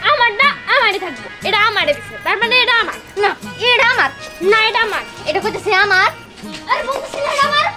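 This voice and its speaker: A quick-tempered young wife in a comic cartoon. The voice is extremely high and childlike, whining and combative in colloquial Bangladeshi speech. Loud music runs behind it. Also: sing-song. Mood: squabbling.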